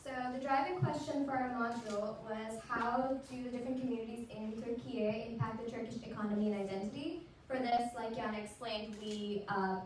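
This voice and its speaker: A woman's voice: a little high, steady tone, brisk, hardly any pauses, a little hiss or hum. Teen girl from Pakistan, echoey. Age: teens. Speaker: student presenter. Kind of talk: school showcase presentation. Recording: echoey room, mic far off.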